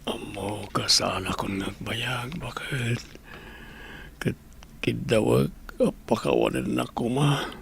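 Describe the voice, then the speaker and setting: A man playing an ailing, sorrowful husband on his deathbed in a radio drama, speaking in a weak, halting, strained way. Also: medium pitch, steady tone, creaky, fairly bright tone, slight background noise, full character voice. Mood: weak, pained.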